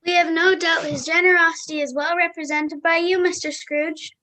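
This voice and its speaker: A courteous, earnest charity collector played by a woman in a radio drama. Her high voice speaks with careful formality. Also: steady tone, moderate pace, sharp tone. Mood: polite, earnest. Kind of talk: theatrical radio play acting.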